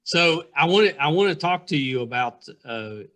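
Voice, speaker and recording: A man's voice: fairly high pitch, animated, normal speed, sharp tone. A man hosting a casual interview podcast, speaking in an effusive, admiring way to his guest.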